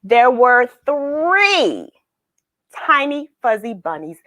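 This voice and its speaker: Woman, children's read-aloud host, expressive storybook narration, very varied intonation, emphatic pauses, dry close sound. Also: a little high, dry recording. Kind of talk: storybook narration with questions to young listeners.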